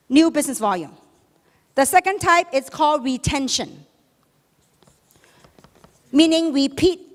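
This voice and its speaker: A woman with a high, bright-sounding voice, whose first language is Chinese, delivers an emphatic motivational talk at a business seminar. She keeps asking the crowd rhetorical questions. Her voice rings out with the long reverb of a large hall. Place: large hall with a live audience.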